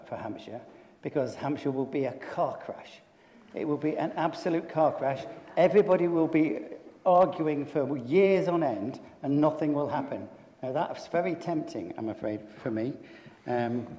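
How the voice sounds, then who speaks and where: An older councillor gives a blunt, halting speech in a council debate. His voice is dark and mellow. It rings in a chamber with a long, echoing reverb. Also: mid-range voice. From England. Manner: hesitant, many fillers and restarts, blunt.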